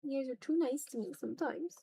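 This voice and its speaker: A woman's voice: sits high, fast, creaky, mellow. A woman chatting casually on an art livestream, thinking aloud in a hesitant, self-deprecating way. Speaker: streamer and content creator. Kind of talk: casual livestream chat while drawing.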